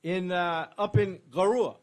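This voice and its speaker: An older man from England, preaching in short, measured phrases and waiting for each to be translated. His voice sits high and his delivery is slow and deliberate. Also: steady tone. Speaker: guest preacher. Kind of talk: sermon in English with interpreter.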